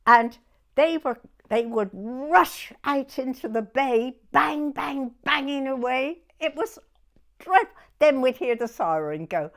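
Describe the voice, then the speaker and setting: A woman in her nineties with a southern English accent, reminiscing conversationally in a halting way and often checking that she is understood. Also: high-pitched, animated, fairly bright tone. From Dorset, England. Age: 90s. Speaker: oral history interviewee.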